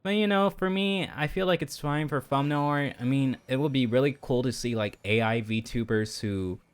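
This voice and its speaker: A man's voice: medium pitch, moderate pace, smooth. A man livestreaming in a casual chat over a game, rambling through his opinions and often repeating himself. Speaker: VTuber livestreamer.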